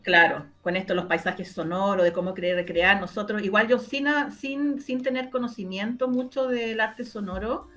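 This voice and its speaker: A woman with a Chilean Spanish accent explaining her own work as an interview guest, in an animated, conversational way. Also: medium pitch, normal speed, hardly any pauses. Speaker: interview guest, radio drama producer. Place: online video interview.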